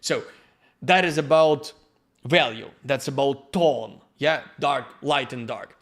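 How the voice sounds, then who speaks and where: A man with a Ukrainian accent giving a live-streamed software lesson as the instructor, halting and restarting as he searches for words. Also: medium pitch. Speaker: workshop instructor.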